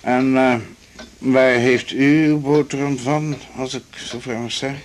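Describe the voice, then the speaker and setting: A man's voice: mid-range voice, fairly even intonation, a little slow, a little hiss or hum, natural voice. A man playing a smug, conservative, patronizing older doctor in a radio drama, pompous and full of hesitant ehs. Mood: chatty, nostalgic. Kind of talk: 1980s Dutch radio drama acting.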